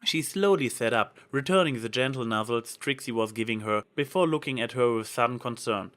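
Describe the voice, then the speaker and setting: A man's voice: mid-range voice, brisk, fairly bright tone. A man reading a scripted story aloud as its narrator. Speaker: story reader. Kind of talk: scripted narration of fan fiction.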